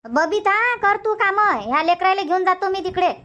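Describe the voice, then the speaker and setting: Woman doing an older village grandma in a cartoon, high voice, repeats herself, varhadi marathi accent. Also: moderate pace, pushed and bright. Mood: bossy, indulgent. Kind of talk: cartoon comedy dubbing.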